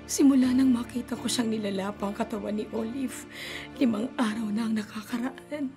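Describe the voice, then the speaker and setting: A woman's voice: a little high, steady tone, moderate pace, warm tone, natural voice. An actress playing an anxious older grandmother and mother in a radio horror drama, talking with fretful worry. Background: dramatic music.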